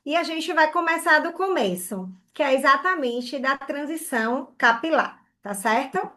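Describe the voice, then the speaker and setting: A woman's voice: sits high, animated, measured pace, bright, forceful tone. A woman from Bahia, giving an online hair care class in an earnest way and often checking in with "né?" and "tá?". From Bahia, Brazil.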